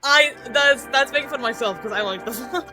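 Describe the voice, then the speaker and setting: A woman's voice: high voice, brisk, music in the background. A woman in casual, playful chat among friends, giggly and joking as she goes.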